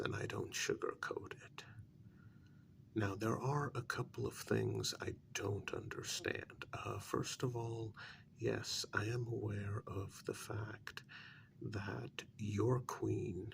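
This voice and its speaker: A man playing a blunt, put-upon vampire counselor in a soft-spoken ASMR role-play, breathy and often near a whisper, halting and formal. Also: fairly low pitch, a little hiss or hum, full character voice. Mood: measured, blunt.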